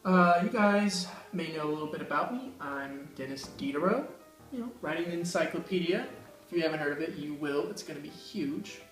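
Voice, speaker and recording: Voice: mid-range voice, lively intonation, a little fast, a bit bright, far from the mic, soft background music, close to an everyday voice. A young performer playing a smug, self-assured male writer, chatting casually in an amateur school video. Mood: persuasive. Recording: echoey room.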